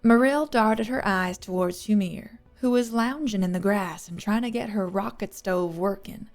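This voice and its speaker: A woman's voice: mid-range voice, normal speed, a little mellow, soft background music. A woman reading scripted third-person fiction as an audiobook narrator.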